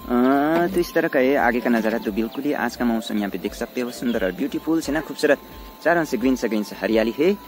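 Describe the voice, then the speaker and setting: A man's voice: medium pitch, animated, fairly quick. Young man vlogging around his village. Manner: chatty, mixes asides to family with narration to camera. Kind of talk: casual vlog narration. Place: rural hillside house and village, indoors and out.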